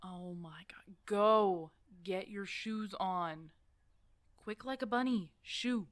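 A woman's voice: mid-range voice, takes it slowly, dry recording, natural voice. A woman playing a stubborn, protective older werewolf hermit in an ASMR roleplay drama, blunt and teasing in a casual way. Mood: bossy, exasperated. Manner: teasing, blunt, casual one-sided dialogue.

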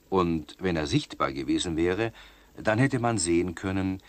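A deep-voiced storyteller narrates a children's tale in a radio play. The sound is close and dry, the delivery that of scripted narration. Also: male voice, fairly bright tone, no room sound, exaggerated voice.

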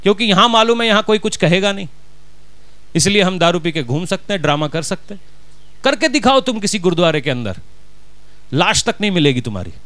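A middle-aged pastor with a Punjabi accent preaches into a handheld mic, his voice high-pitched and smooth. He presses his points with rhetorical questions and drops Punjabi words into the sermon. The sound is close and dry, with little room around it. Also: expressive, brisk, long pauses, fairly bright tone, dry recording. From Punjab, India. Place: church stage, indoors.

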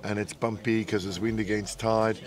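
A man's voice: fairly low pitch, level tone, moderate pace. A man, a yacht sailor describing a race in an easygoing, rambling way, outdoors at night. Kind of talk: post-race interview.

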